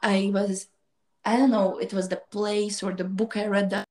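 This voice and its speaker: A young woman from Latvia, telling her life story as a guest in a casual chat and acting out the voices she quotes. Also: mid-range voice. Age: young adult. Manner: quick, animated, acts out quoted dialogue.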